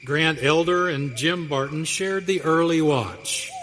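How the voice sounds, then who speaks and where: A man's voice: fairly high pitch, takes it slowly, fairly bright tone, soft background music. A man narrating a scripted western radio drama. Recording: hall reverb, faint noise.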